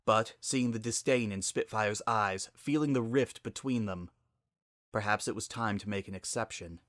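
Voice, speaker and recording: A man's voice: fairly low pitch, moderate pace, a bit bright, no echo, studio quality. A man narrating a story in a dramatic, scripted reading style.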